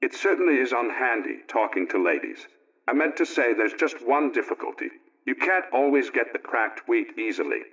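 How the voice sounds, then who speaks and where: An actor plays a middle-aged family man on 1920s radio, earnest and folksy. He reads in a plain-spoken, politely opinionated way at a medium pitch. The recording is dull and old-fashioned. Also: very animated, moderate pace, fairly bright tone. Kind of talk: 1920s radio scripted letter reading.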